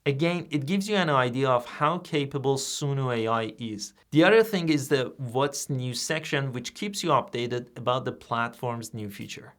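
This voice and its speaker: A man whose first language is Persian, hosting a software tutorial and explaining each step in a plain, steady way. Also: medium pitch, normal speed, few pauses. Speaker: tutorial host. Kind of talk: screen-recorded software tutorial.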